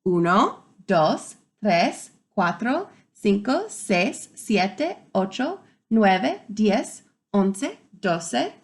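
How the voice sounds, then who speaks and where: A woman kindergarten teacher reading a picture book aloud to young children in an expressive way, pausing to wonder aloud. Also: mid-range voice.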